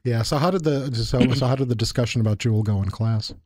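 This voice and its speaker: A man's voice: medium pitch, fast, darkish tone, a little hiss or hum. A man co-hosting a casual chat, talking in a reflective, thoughtful way. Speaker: university lecturer and podcast co-host.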